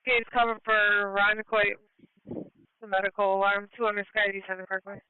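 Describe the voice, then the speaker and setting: Clear-voiced woman on tinny ambulance radio. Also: medium pitch, flat delivery, moderate pace, no room sound. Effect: two-way radio transmission. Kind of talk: terse radio traffic. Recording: tinny, phone-like scanner radio feed.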